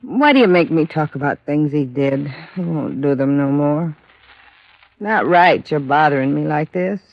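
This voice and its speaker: An actress playing a grief-stricken working-class widow, bitter and defensive, with plain plaintive speech in an old radio drama. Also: female voice, low-pitched, animated, a little slow, dry recording. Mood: bitter, upset. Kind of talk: 1950s radio drama acting. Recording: dull vintage radio recording.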